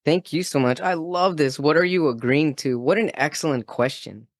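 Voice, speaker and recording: Effusive, fairly high-voiced male podcast host. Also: moderate pace, no room sound, studio quality. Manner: effusive, repeats praise and questions. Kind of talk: enthusiastic interview hosting. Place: remote, in California.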